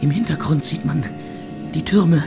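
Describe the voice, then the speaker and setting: Tormented ironic composer, radio drama, creaky mid voice, mocking and theatrical, music behind him. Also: male voice, fairly high pitch, a little slow. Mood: excited, theatrical. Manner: mocking, savouring archaic phrasing, theatrical. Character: suffering male composer, ironic, sardonic, morbidly theatrical. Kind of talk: literary radio play monologue. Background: instrumental music.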